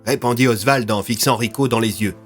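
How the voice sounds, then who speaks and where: A man's voice: mid-range voice, a little fast, faint music behind. A man narrating an audio fiction series in a scripted, dramatic storytelling style.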